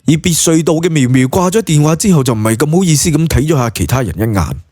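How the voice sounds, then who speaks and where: A man's voice: a little high, lively intonation, fast, darkish tone, breathy edge, no echo, studio quality. A man with a Cantonese accent narrating a scripted story dramatically, as in an audiobook.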